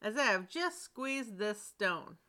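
A woman voicing a clever, bold youngest son in a storybook reading, speaking slowly and firmly. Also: medium pitch, expressive, pushed and bright. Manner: bold, unhurried.

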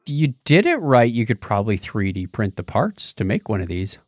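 A middle-aged man co-hosting a podcast in casual conversation, often pausing to think aloud. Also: fairly low pitch, very animated, darkish tone, no room sound, clean studio sound. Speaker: podcast co-host. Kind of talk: casual chat about old gadgets.

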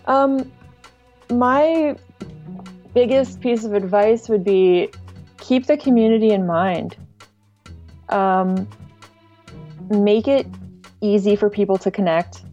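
A woman's voice: mid-range voice, slow pace, long pauses, mellow, faint music behind. A woman speaking casually as a guest in a podcast interview, on a slightly lo-fi recording. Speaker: podcast guest, online music archive director.

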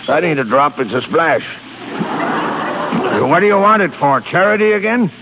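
A man acting a gruff, irascible but soft-hearted old shipping boss in a 1930s radio play. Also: a little high, animated, moderate pace, crowd noise, close to an everyday voice. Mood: grudging, indulgent. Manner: gruff, irascible, colorful sailor's insults. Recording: hissy, crackly, tinny 1930s radio recording.

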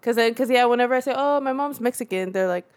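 A chatty young woman from Central California, talking easily as a guest in a relaxed conversation. She laughs often and slips into playful voices when she quotes people. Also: fairly high pitch, fast. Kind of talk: casual conversation.

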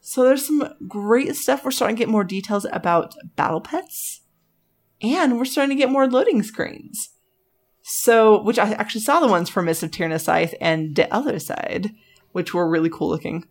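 A woman's voice: mid-range voice, normal speed. A woman co-hosting a casual gaming podcast, enthusiastic and full of "like" fillers. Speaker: podcast co-host.

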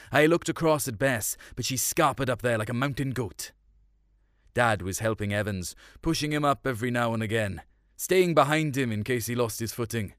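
A man's voice: medium pitch, a bit bright, smooth, no room sound, studio quality. A man narrates a short story in the first person, in a colloquial, confiding way.

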